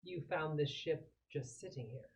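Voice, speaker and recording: A woman voicing a brisk female investigator in a tabletop space game, asking direct, formal questions. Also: sits low, creaky, a little mellow. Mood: probing.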